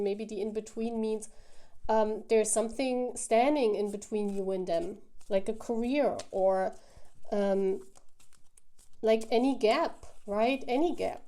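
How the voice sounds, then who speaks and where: A woman giving a casual, intuitive tarot reading to viewers, thinking aloud in a musing, halting way. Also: medium pitch, dark tone. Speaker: tarot reader, host.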